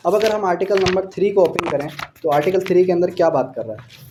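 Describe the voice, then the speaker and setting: A man's voice: sits high, fast, background noise. A man with a Pakistani accent teaching an exam-prep law lecture, explaining by asking questions and answering them, mixing in English legal terms. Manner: mixes English legal terms and quotations into speech.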